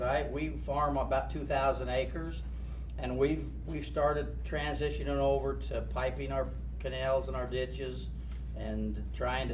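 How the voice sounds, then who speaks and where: Plainspoken farmer man, distant and noisy. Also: mid-range voice. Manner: plainspoken, halting restarts. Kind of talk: panel discussion answer. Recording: distant mic, noisy room.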